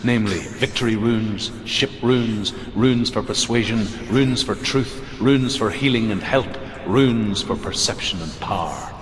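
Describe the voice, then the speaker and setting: A man playing a grave, reverent storyteller of Norse legends in a video game, solemn and measured. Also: fairly low pitch, lightly acted. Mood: solemn storytelling. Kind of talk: slow dramatic myth narration. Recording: long cavernous reverb, clear background noise.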